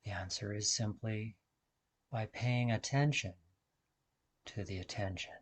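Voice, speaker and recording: A man leading a guided meditation as a teacher, speaking in calm, deliberate, measured phrases with long pauses. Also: fairly low pitch, slightly rough.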